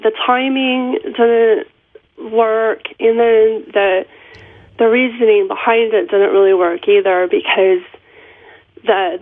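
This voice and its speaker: A middle-aged woman with a congested voice from a cold, chatting and laughing between thoughts. She comes through a tinny telephone line with a dry, boxed-in sound. Her pitch sits in an ordinary middle range. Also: dry recording. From Seattle area. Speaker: author and guest caller. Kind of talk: radio interview by phone.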